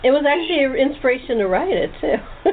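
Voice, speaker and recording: A woman hosting a casual interview, chatty and halting as she rephrases her questions. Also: sits high, very animated. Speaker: host, playwright-director interviewing cast. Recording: tinny phone-like internet call, noisy.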